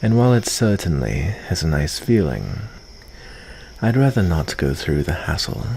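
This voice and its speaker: A man playing a calm, lonely, guarded vampire of the forest in an ASMR roleplay, with formal, dryly teasing phrasing. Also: low voice, gravelly, a little hiss or hum, full character voice, low-quality recording. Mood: calm, dismissive. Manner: unhurried, soft-spoken formal phrasing with dry teasing. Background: cricket ambience.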